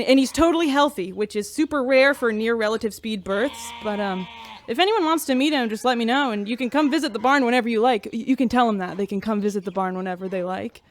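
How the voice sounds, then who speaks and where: A woman playing an awkward, high-voiced animal keeper. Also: natural voice. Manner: awkward, hesitant, rambling. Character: shy, earnest female animal keeper on a starship. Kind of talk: naturalistic sci-fi radio drama acting. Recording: faint ambient noise, staged barn.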